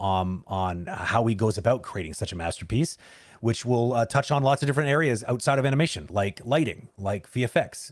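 A man with a fairly low voice hosts an online interview over a video call. He rambles and hesitates, restarting sentences and filling gaps with um and uh. The sound is dry and close, with no room around it. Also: no echo. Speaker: interview host.

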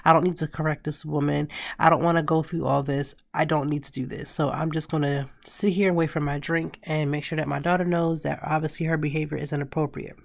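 A woman in her thirties hosting a casual solo podcast, hoarse and raspy from a cold, chatting and telling stories straight to her listeners. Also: low voice, steady tone, a little fast, hardly any pauses, dry recording, studio quality. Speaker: podcast host.

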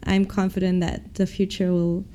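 A woman's voice: lowish voice, little pitch movement, moderate pace, dark tone. A young woman, a former athlete now studying business, answering interview questions in a hesitant, upbeat way with many restarts. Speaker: MBA student, former professional athlete.

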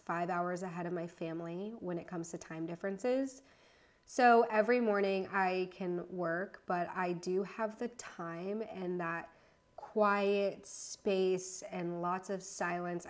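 A woman in her thirties from the United States hosting a casual solo podcast from her apartment, chatty and a bit nervous, rambling and correcting herself as she goes. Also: lowish voice. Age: 30s. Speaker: podcast host.

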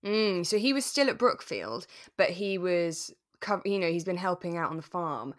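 A woman with a fairly low voice chats casually as a podcast co-host. The sound is dry and close, and her delivery is conversational and informal. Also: animated, moderate pace, no echo. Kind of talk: casual chat about a radio drama.

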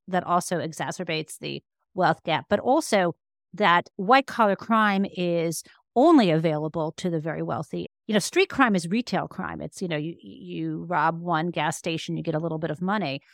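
Low-pitched woman explaining in conversation. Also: vocal fry, fairly bright tone, dry recording, studio quality. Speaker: interview guest, law professor and author. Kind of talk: conversational explanatory interview answers.

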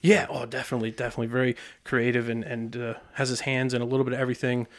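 A man's voice: medium pitch, little pitch movement, very fast. A man with an American accent hosting a casual interview podcast in a studio. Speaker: podcast host.

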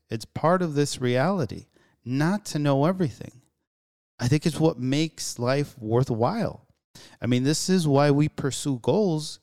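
A man's voice: on the high side, a little mellow. A man hosting a solo talk show, speaking earnestly in a musing, reflective way with frequent restarts. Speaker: podcast host.

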